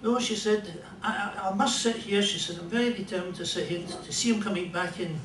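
An older Scottish man with a fairly high voice tells stand-up jokes in a dry, rambling way. He is picked up from a distance in a roomy club, with room noise clearly behind him. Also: fairly bright tone. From Scotland. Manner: dry, rambling joke delivery with pauses. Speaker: comedian and musician. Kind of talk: stand-up storytelling. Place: small club stage in front of a band. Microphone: stand mic, filmed from a distant camera. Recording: roomy club sound from far off.

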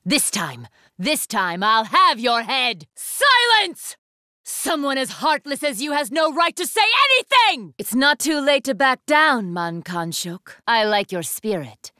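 A woman's voice: sits high, big pitch swings, unhurried, pushed and bright, dry recording, clean studio sound, heavily acted. A woman voicing a proud, fierce young female student leader in an anime fighting game, with a commanding, haughty, formal delivery.

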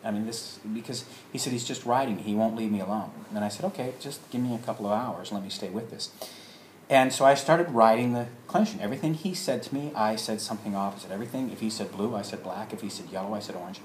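A man telling a personal story in a halting way, acting out remembered exchanges as he goes. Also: lowish voice. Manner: halting, acts out remembered dialogue. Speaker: storyteller, alternative health practitioner. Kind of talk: personal anecdote told to listeners. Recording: roomy echo with background noise.

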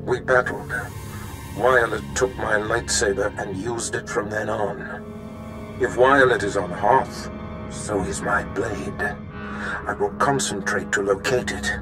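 An actor playing a cold, menacing Sith master in a video game, speaking formally and imperiously. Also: male voice, mid-range voice, lightly acted.

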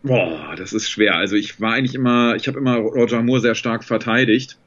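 A man's voice: mid-range voice, fairly quick, fairly bright tone. A man in his thirties, a voice actor guest, chatting thoughtfully and fondly about films over a video call from a home room. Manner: thoughtful, fond film talk with many fillers. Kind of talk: relaxed video-call interview chat. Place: home room with shelves and pink light. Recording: slightly dull, muffled call audio.

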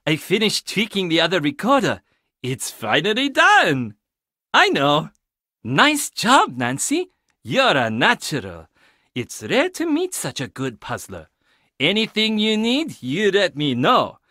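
A man's voice: high-pitched, wildly swooping pitch, a little slow, sharp tone, no echo, studio quality, full character voice. An actor playing a casual, teasing young handyman in a video game, with a laid-back, playful manner. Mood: friendly, approving.

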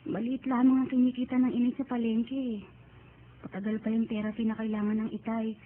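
An actress playing a dutiful, devout young Filipino daughter in a radio drama, earnest and respectful. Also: female voice, on the high side, monotone, a little fast, natural voice. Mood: earnest, resolute. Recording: tinny narrow band, background noise.

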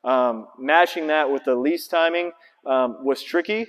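A man's voice: on the high side, unhurried. A man in his thirties, a basketball trainer, casually walking through his gym and explaining with lots of fillers, on a lapel mic. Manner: casual, filler-heavy, explaining and pointing things out. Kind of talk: casual walkthrough vlog. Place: large empty indoor basketball gym. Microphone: clip-on lapel mic. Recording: long reverb of a big empty gym.

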